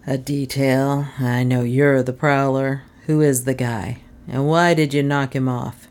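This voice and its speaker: Woman doing a low, slow, bullying detective man. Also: level tone, darkish tone. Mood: gloating. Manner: gloating, badgering. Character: gruff, bullying, sarcastic male police detective.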